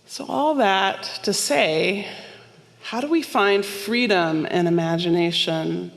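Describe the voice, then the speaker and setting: A woman's voice: medium pitch, slow pace, vocal fry. A woman minister giving a sermon, telling stories in a conversational way with small asides. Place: church sanctuary. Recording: long hall reverb.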